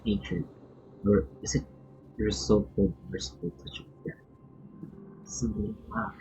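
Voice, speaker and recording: A man's voice: lowish voice, big pitch swings, a little slow, gravelly, lo-fi sound. A man, live-streaming a game, chatting casually and reading text aloud. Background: faint game music.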